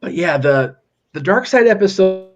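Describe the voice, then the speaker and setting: A man's voice: sits high, lively intonation, moderate pace. A man co-hosting a podcast, rambling casually with stammered restarts and loose swearing. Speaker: podcast co-host. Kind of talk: casual chat.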